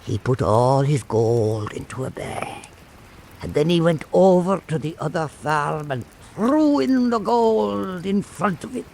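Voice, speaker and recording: Male folk-tale narrator for radio drama: slow delivery, highly lively melody. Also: creaky, a little hiss or hum. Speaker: storyteller.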